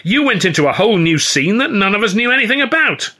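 An exasperated male music-hall comedian voiced by a man reading an audiobook, emphatic and argumentative. The voice is raised in pitch and bright, with a slightly husky edge. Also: very animated, fast, heavily acted. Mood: angry.

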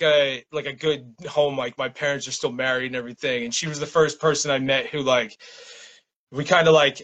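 A man's voice: a little high, fairly bright tone. An American man with a laid-back, slangy way of talking, a guest poet chatting casually and reading his work. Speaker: guest poet and small press publisher. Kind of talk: casual interview chat and poetry reading.

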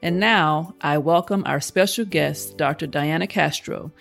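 A woman hosts a medical education podcast, reading her lines in a measured, formal way. Her voice sits a little low. Faint music plays under her words. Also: creaky, a bit bright. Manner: measured, formal, read from a script. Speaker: podcast host, medical science liaison. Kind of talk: scripted podcast introduction and interview questions.

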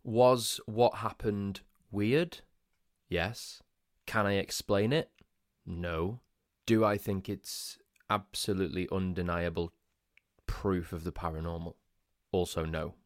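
A man with a very low, groggy voice hosts his own podcast. He rambles casually, asking himself questions and restarting sentences. The sound is dry and close. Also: slow pace, no room sound. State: groggy, just woken up. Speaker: podcast host. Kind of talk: casual solo podcast storytelling.

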